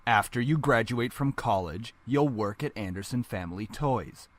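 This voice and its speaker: A man's voice: mid-range voice, animated, moderate pace, dry recording, natural voice. A man playing a cold, domineering middle-aged father in a visual novel, stern and condescending in manner. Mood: cold, commanding. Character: cold, domineering, angry father and business executive.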